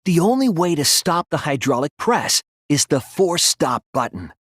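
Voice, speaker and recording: A man voicing an earnest young male student detective in a video game, explaining his deductions in a deliberate, thoughtful way. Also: on the high side, sing-song, fairly bright tone, slightly rough, dry recording, clean studio sound, exaggerated voice. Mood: measured reasoning. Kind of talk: scripted video game voice acting, deduction speeches.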